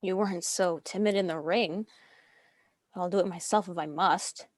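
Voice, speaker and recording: A woman's voice: on the low side, normal speed, no echo, natural voice. Woman as a proud noblewoman, close dry sound. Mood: wounded pride. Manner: formal, poised. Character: proud, composed noble widow bent on honor. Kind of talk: dramatic script reading.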